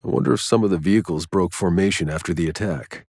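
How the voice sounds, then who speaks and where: An actor playing a gruff, world-weary soldier and scavenger in a video game, terse and dry, with a low husky voice. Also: male voice, fast, a little mellow, no room sound. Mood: terse, serious.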